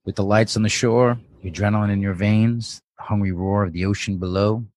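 A man plays a haunted, jaded man of forty in a table read of a TV drama. His voice is very low and sounds close and dry, with a defiant edge. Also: fairly even intonation, fairly quick, darkish tone, no echo, lightly acted. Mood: brooding narration.

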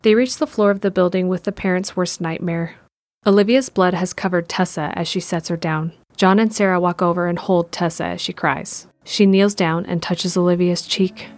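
A woman narrating a scripted audio drama in a measured, descriptive way. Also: lowish voice, steady tone, no room sound.

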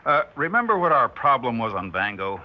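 An actor playing a calm, affable senior male scientist in a 1960s radio drama, measured and explanatory. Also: medium pitch, close to an everyday voice. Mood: calm, businesslike. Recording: muffled 1960s radio recording.

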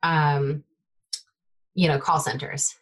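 Excitable woman guest with a lower voice, Bay Area native, first language Taiwanese, breezy and filler-filled. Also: slow, slightly rough. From United States, Bay Area. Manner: enthusiastic, talks with many fillers like "like" and "you know". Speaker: podcast guest, tech consultant. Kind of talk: casual interview chat.

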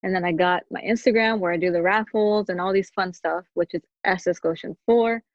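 A woman's voice: lowish voice, fairly even intonation, brisk, dry recording, lo-fi sound. A woman guest on a podcast, chatting in an easygoing, giggly way with lots of fillers. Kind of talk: casual chat.

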